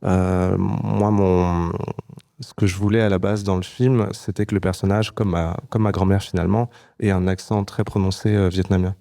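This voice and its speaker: Man, film director, hesitant, filler-heavy speech, deep voice, mellow dark tone. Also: little pitch movement, gravelly. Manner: hesitant, many filler pauses. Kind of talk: interview answers.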